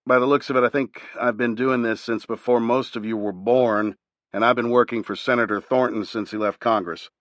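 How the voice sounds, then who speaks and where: A man's voice: mid-range voice, fairly even intonation, fairly quick, fairly bright tone, dry recording, natural voice. A man reading aloud, voicing a self-assured male veteran pilot with a wry tone.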